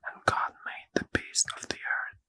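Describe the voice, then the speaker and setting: Slow whispering man, dry and close. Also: dry recording. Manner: soft unvoiced whisper, slow and measured. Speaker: ASMR reader. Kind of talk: whispered ASMR bedtime scripture reading.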